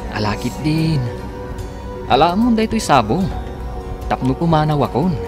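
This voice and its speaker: An actor playing a lovestruck young suitor, earnest and coaxing, with an Ilocano accent in a radio drama. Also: male voice, a little high, sing-song, moderate pace, music in the background. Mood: wooing, insistent.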